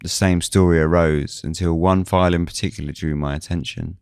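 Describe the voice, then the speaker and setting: A man's voice: low-pitched, steady tone, fairly quick, a little mellow, smooth, dry recording, clean studio sound. A man reading scripted first-person suspense narration as the narrator of a fiction podcast.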